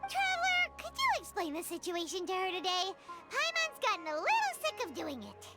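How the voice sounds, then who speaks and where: A tiny fairy sidekick with an extremely high, squeaky child's voice. The delivery swings wildly in pitch, perky and exclamatory, in video game style with loud music behind it. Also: female voice, huge pitch swings, brisk, full character voice. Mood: chatty. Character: small excitable childlike fairy companion who talks in third person.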